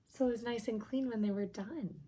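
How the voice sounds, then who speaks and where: A woman reading a children's picture book aloud in an expressive, emphatic storytelling manner, with a slightly husky voice. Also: a little high, brisk, a little hiss or hum.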